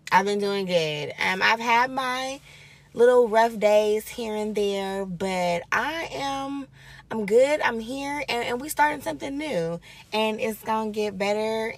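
A woman hosting her own podcast in a casual, upbeat, reflective way. She repeats phrases for emphasis. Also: fairly low pitch. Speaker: podcast host. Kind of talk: casual solo podcast talk.

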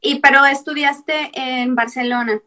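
A woman's voice: high-pitched, fairly even intonation, measured pace, sharp tone. A woman hosting an interview as a fellow nutritionist, asking questions and giving friendly advice.

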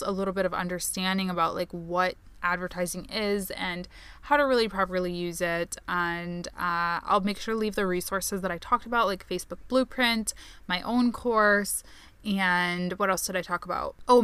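A woman's voice: mid-range voice, normal speed, fairly bright tone, clean tone. A woman hosting a solo podcast in a chatty, upbeat way, with fillers, and her voice sounding tired and strained. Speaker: podcast host. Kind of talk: solo podcast monologue on advertising.

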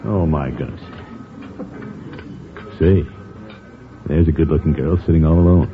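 An actor playing a pompous, flirtatious middle-aged uncle and small-town official in classic radio comedy, blustering and chuckling fussily. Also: male voice, extremely low pitch, unhurried, faint music behind. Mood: fussy, scheming. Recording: noisy, narrow-band vintage radio recording.

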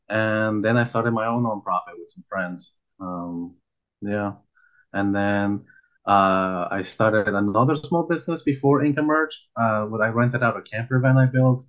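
A man's voice: on the low side, low-quality recording. A young man from Peru, talking casually and a bit rambling with many fillers, as a guest on a video call. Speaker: guest, nonprofit founder. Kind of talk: casual interview chat.

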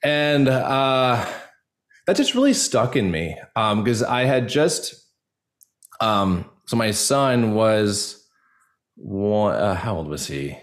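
A man's voice: lowish voice, sing-song, slow pace. A young man answering interview questions as a guest, talking in a casual, earnest, rambling way peppered with "like" and "you know". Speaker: podcast guest, actor and audio drama creator.

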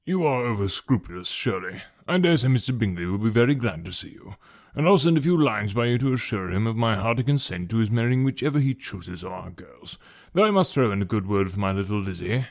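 A man voicing a dry, teasing middle-aged English husband in an audiobook, with a deadpan manner. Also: on the low side, fairly quick. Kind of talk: audiobook character voice.

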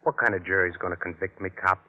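An actor playing a cocky, defiant murder suspect in an old-time radio crime drama, with insolent, slangy delivery. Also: male voice, low-pitched, fairly even intonation, quick pace. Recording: tinny, narrow-band old radio recording.

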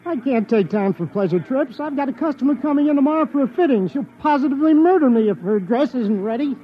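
An actor playing a fussy, anxious and naive little tailor, bewildered, in a classic radio drama. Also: male voice, extremely high pitch, animated, fast, slight background noise. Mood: bewildered fretting. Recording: dull vintage radio recording.

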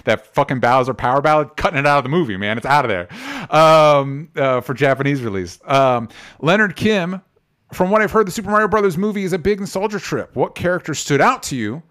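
A man's voice: on the high side, animated, fairly quick, fairly bright tone. A man hosting a casual movie discussion show, speaking in a blunt, argumentative way with some swearing. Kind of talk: casual opinionated movie chat.

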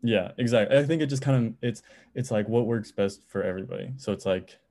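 A young man in college, a music producer, talking as a podcast guest in a relaxed, rambling way. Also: fairly low pitch, quick pace. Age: young adult. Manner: relaxed, rambling, many "it's like" fillers. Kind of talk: casual interview chat.

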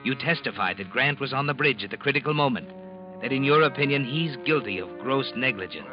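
A man acting as an ominous, accusing narrator in a 1940s radio mystery, speaking to the guilty in a knowing, deliberate way. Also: medium pitch, expressive, close to an everyday voice. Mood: taunting, knowing. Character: ominous all-knowing male storyteller taunting the guilty. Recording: dull old 1940s radio recording, music behind.

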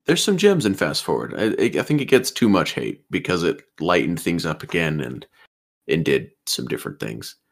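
Guy in his 20s, real low and gravelly, chatty podcast co-host who keeps restarting sentences. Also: very animated, normal speed. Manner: enthusiastic, repeats words at starts of sentences. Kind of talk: casual chat.

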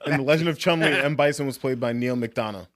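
A man with an average-pitched voice in a dry recording. He steers a casual podcast discussion in an informative way, supplying names and details as he goes. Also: quick pace, dry recording. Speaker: podcast host.

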